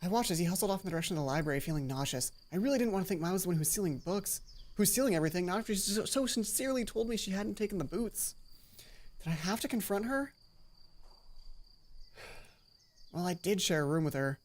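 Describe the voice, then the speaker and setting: A woman's voice: mid-range voice, expressive, quick pace, pauses often, darkish tone. A woman hosting a let's play, mixing casual, sweary commentary with reading a visual novel's narration aloud.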